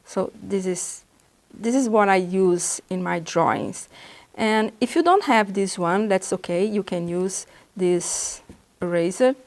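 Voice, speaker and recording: Slow-spoken Brazilian woman teaching drawing. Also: mid-range voice. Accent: Brazilian Portuguese. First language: Portuguese. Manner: patient, halting explanations with pauses. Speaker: art instructor. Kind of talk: drawing lesson demonstration.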